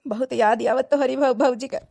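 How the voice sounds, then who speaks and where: A woman's voice: high voice, steady tone, normal speed, vocal fry, warm tone. A woman voicing a sorrowful young widowed father in a cartoon, speaking plaintively with an Awadhi accent. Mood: grieving. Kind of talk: dramatic cartoon dialogue in dialect.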